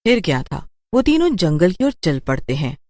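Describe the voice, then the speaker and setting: A woman serves as narrator of a scripted horror tale. Her pitch is on the low side for a female voice. The recording is dry, with little room sound. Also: sing-song, normal speed, mellow, no room sound.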